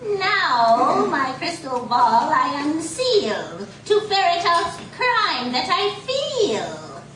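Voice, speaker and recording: A woman's voice: sits high, sing-song, drawn-out words, heavily acted. A woman playing a mysterious old good witch who chants sing-song rhymes in a 1940s radio serial. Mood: eerie, scheming. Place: live performance in a coffee house. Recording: distant echoey live room with clear noise.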